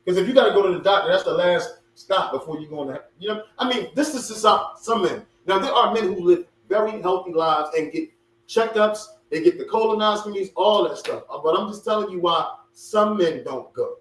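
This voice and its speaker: A man in his forties with a mixed Philadelphia and Baltimore accent, co-hosting a casual podcast chat, animated and joking. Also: high voice, brisk. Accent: Philadelphia and Baltimore mix. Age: 40s. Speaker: podcast co-host. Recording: roomy echo, far from mic, faint hum.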